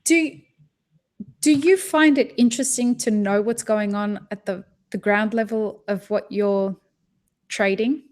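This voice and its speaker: A woman's voice: medium pitch, a little slow, darkish tone. A woman hosting a podcast in casual chat, blunt and chatty and swearing now and then. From not American, living in California. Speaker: podcast host.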